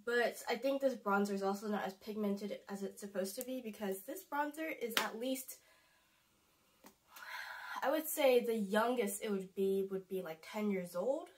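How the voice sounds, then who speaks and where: A woman's voice: mid-range voice, normal speed, low-quality recording. A young woman with a stuffy, congested voice from a cold, chatting casually to camera as a beauty vlogger, rambling and correcting herself.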